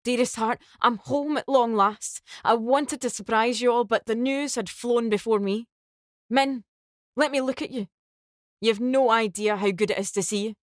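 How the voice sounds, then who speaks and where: Lady doing a young soldier guy, loud and eager, dry sound. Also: a little high, moderate pace, dry recording, natural voice.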